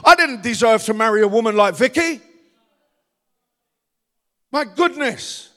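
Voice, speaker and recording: High-voiced Cockney man preaching. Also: animated, a little slow, a bit bright. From East End of London. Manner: rousing, calls for audience response. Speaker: pastor. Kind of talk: sermon. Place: church. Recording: long church reverb.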